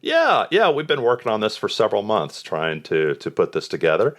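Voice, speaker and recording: A man's voice: fairly low pitch, huge pitch swings, a little fast, fairly bright tone. An American man hosting a podcast as a police chaplain, reading an earnest intro and then interviewing his guest. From United States. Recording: music behind the voice. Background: guitar music.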